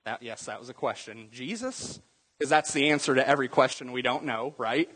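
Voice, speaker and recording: Husky-voiced male preacher in a reverberant hall. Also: mid-range voice, a little fast, fairly bright tone. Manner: conversational teaching, quizzing the congregation with rhetorical questions. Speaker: pastor. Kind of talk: sermon.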